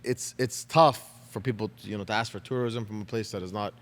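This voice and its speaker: A man's voice: fairly low pitch, steady tone, a little fast, long reverb tail, a little hiss or hum. A Canadian man hosting a podcast interview, eager and casual, asking long rambling questions with restarts. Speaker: podcast host.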